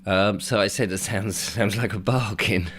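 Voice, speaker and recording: Host: deep-voiced man, croaky throat, chatty and rambling, faint hiss behind. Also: steady tone, normal speed, fairly bright tone. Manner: chatty, friendly, rambling asides. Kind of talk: interview hosting.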